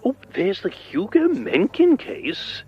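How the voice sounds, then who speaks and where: A prickly, arch British theatrical agent on a dull-sounding phone line. His voice is fairly high for a man and swings about with florid, pompous sarcasm in radio drama style. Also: huge pitch swings, slow, heavily acted. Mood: teasing, arch. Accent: British English. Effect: telephone voice. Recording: muffled phone-call sound.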